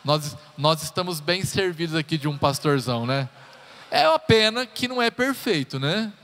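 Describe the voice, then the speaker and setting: Pastor, man in his thirties, relaxed and joking from the pulpit; slightly high voice, live hall reverb. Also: normal speed. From Brazil. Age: 30s. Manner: chatty and joking, talks straight to the congregation. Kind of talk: sermon introduction, personal stories. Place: church hall.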